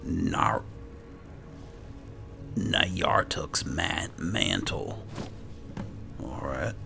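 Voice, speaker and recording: A man giving casual, low-key roleplay commentary as he plays a game, muttering in a gravelly voice. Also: drawn-out words. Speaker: gamer playing in character. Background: game music.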